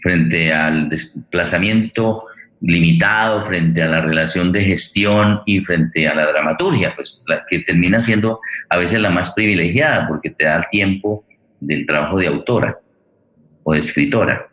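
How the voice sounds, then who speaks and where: A man with a low voice hosts a forum, recorded with a clearly roomy echo. He builds long questions deliberately, halting often to find the next word. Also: a bit bright. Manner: deliberate, halting, searching for words. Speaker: forum host, interviewer. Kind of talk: interview moderation, long spoken questions. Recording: roomy, echoing sound.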